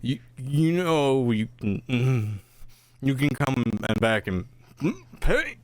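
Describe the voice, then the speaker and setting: A man's voice: mid-range voice, sing-song, slow pace, no room sound. A man acting a kindly, mumbling proprietor who hums hesitantly between words in a comedic radio play.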